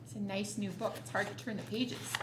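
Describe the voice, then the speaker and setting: A woman hosting storytime, reading a children's picture book expressively, with quiet asides. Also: medium pitch, steady tone, a little fast, background noise. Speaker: storytime host.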